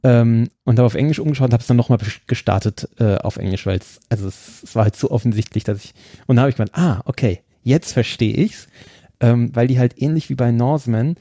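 A man's voice: mid-range voice, fairly quick, mellow, no echo, clean studio sound. A man co-hosting a podcast in casual chat, enthusiastic and laughing often. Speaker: podcast co-host.

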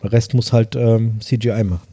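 Rambling man with a very dark voice. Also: on the low side, fairly even intonation, husky, no room sound, studio quality. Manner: rambling, halting, many fillers. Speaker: podcast host. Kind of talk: casual chat.

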